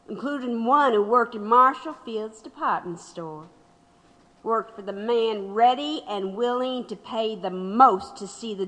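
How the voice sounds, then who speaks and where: Slow, forceful actress as sardonic woman narrator. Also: medium pitch. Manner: deliberate, pointed. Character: sardonic female storyteller recounting a rigged trial. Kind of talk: dramatic scripted narration. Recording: long hall reverb.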